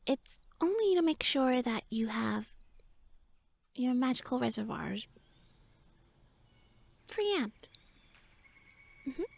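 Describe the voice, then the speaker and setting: A woman playing a pouty tsundere elf shrunk to pixie size in a roleplay audio, haughty, flustered and halting as she speaks to the listener. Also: high voice, creaky, darkish tone, no echo, heavily acted. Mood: bashful, defensive.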